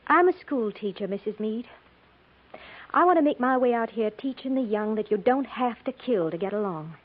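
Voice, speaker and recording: An American actress playing a chatty, easily riled young schoolteacher bride with folksy, earnest speech in a 1950s radio drama. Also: female voice, on the high side, animated, moderate pace. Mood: puzzled, earnest. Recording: tinny, narrow-band 1950s radio recording.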